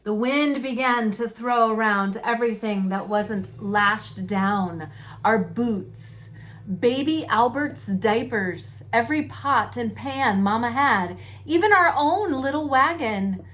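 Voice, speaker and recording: A woman reading a children's picture book aloud in an animated, folksy storytelling manner. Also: fairly high pitch, takes it slowly, poor audio. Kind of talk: expressive read-aloud narration of a children's book.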